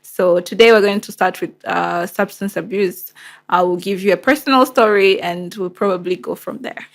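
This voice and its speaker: A woman's voice: lowish voice, normal speed, gravelly. A woman with a Rwandan accent and Kinyarwanda as first language, hosting and telling a personal story in a candid, conversational, emphatic way. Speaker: show host.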